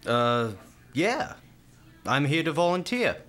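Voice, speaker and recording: Cocky young New Yorker, swoopy pitch. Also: male voice, very animated, slow pace, fairly bright tone, exaggerated voice. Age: young adult. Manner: slangy, cocky, informal. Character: brash, streetwise, cheeky young male hospital volunteer. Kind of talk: radio play acting.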